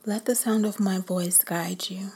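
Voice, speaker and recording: Slow whispering woman meditation guide. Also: medium pitch, steady tone, vocal fry, darkish tone, slightly rough.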